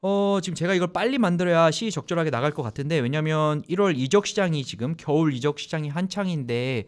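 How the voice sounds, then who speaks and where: A man hosts a casual football talk show on the radio. His voice sits fairly high and swings widely in pitch as he explains things at length. He rambles a little in an easy, conversational way. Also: animated, fast talker.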